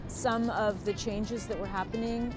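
An older woman, a documentary filmmaker, explaining her film in thoughtful, measured interview answers. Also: mid-range voice, fairly even intonation. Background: background music.

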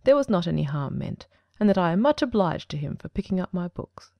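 A woman plays an old male bookseller from a storybook, gravelly and swooping in pitch. He is fussy and obliging, forever deferring as he wheedles for a sale. The sound is close and dry. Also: very animated, brisk, dry recording, highs cut off. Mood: obliging, wheedling.

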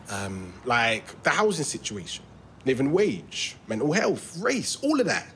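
An eager young London guy in an audio drama, with a fairly high voice that moves up and down animatedly. He is earnest and overenthusiastic and trips over his own pitch. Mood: earnest, upbeat. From London, England. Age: young adult. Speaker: actor in a fiction podcast. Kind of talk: naturalistic audio drama dialogue. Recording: faint ambient background noise.

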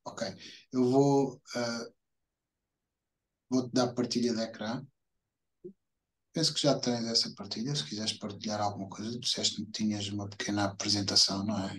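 A middle-aged man with a European Portuguese accent, hosting an informal interview and rephrasing his questions haltingly as he goes. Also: lowish voice, level tone, fairly bright tone. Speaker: host interviewer. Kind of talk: informal video-call interview. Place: indoor room, video call. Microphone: computer mic on a video call.

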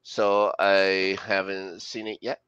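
A man's voice: low-pitched, little pitch movement, slow pace, a bit bright, smooth, dry recording. A middle-aged man co-hosting a show from home over a headset, joking sarcastically and swearing in casual banter. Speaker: podcast co-host. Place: home room. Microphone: headset on video call. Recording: dull lo-fi dry call audio.

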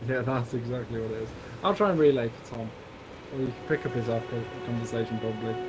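A man's voice: medium pitch, fast, rough recording. A young man, casually bantering and swearing while streaming a co-op game. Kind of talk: casual co-op gameplay chat. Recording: game music behind voice.

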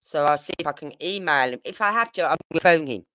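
A woman's voice: low-pitched, lively intonation, fast, bright, forceful tone, no echo. A woman with a British accent hosting a casual true-crime livestream, chatting in a rambling, informal way. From United Kingdom. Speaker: livestream host.

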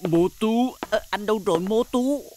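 A woman's voice: medium pitch, normal speed, very noisy, natural voice. A woman voicing a clever, bossy, exasperated skinny cartoon man, exclaiming and scolding in a Vietnamese dub. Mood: puzzled, scheming. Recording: loud music behind the voice.

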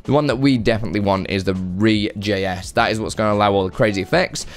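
A man in his thirties hosting a casual, chatty software tutorial at a close desk microphone indoors. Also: on the low side, quick pace. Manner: chatty, informal. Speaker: tutorial host. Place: home room with guitars, indoors. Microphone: close desk microphone on boom arm.

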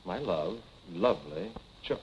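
An actor playing a probing, somewhat hesitant questioner in a 1940s film drama. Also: male voice, sits low, animated, unhurried, darkish tone. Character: probing man questioning a woman about a death. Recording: dull, noisy 1940s film soundtrack.

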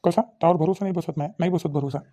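A man's voice: a little high, steady tone, rapid-fire, mellow, natural voice. A man playing a distrustful middle-aged village father-in-law in a cartoon comedy, nagging sceptically and repetitively with a Vidarbha Marathi accent. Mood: stern warning. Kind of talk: cartoon family comedy dialogue.

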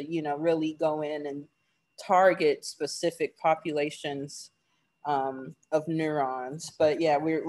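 A graduate student answering panel questions casually, with many fillers, over a video call. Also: male voice, on the high side, darkish tone.

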